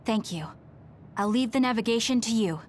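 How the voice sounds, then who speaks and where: An actress voicing a calm, direct, resolute young woman fighter in an anime game dub, reserved and matter-of-fact. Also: a little high, moderate pace, slight background noise, close to an everyday voice.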